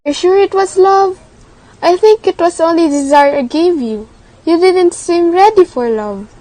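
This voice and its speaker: A woman's voice: very high-pitched, unhurried, dark tone, low-quality recording. A woman playing Life personified in a radio drama, knowing, teasing and coolly persuasive. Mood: cool, doubting. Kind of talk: theatrical radio drama acting.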